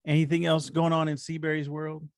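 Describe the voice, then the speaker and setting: A man's voice: a little high, level tone, a little fast, no echo, studio quality. A man with an American accent chatting in an easygoing, casual way as a podcast co-host. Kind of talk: casual chat.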